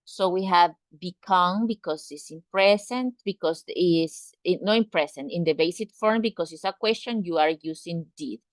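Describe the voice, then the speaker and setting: A woman's voice: on the low side, normal speed, few pauses, no room sound. A woman teaching an English class, patiently asking students questions and repeating words to drill pronunciation. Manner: asks students direct questions.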